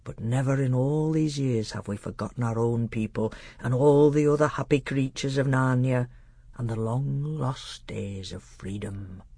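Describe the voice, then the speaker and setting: A narrator voicing a solemn old half-dwarf tutor, small and stout, speaking in a grave, deliberate, heartfelt way for a storybook. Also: medium pitch, warm tone, slightly rough, dry recording.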